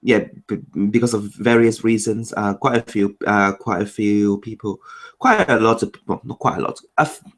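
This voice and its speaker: Cantonese-native man from Macau. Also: on the low side. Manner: explains in quick bursts, rattles off lists. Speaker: interview guest. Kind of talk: casual conversational interview.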